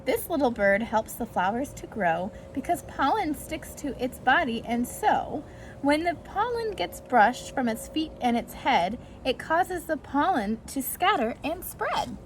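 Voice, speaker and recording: High-pitched woman reading a children's storybook. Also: big pitch swings, measured pace. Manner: sing-song rhyming delivery addressed to young children. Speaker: volunteer reading a picture book to preschoolers. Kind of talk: read-aloud children's storytime with brief asides. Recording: clearly noisy amateur recording.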